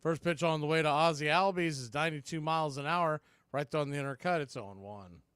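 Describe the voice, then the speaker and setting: A man's voice: on the high side, fast, fairly bright tone, clean tone, no room sound, studio quality. A man doing live play-by-play baseball commentary as a radio host.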